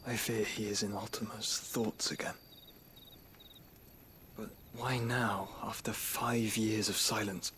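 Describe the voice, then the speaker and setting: An actor playing a gentle, solemn young nobleman, weary and wounded, speaking formally in a soft, breathy voice near a whisper. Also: lowish voice, flat delivery, a little hiss or hum. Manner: measured, formal, reflective speech. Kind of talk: game cutscene acting.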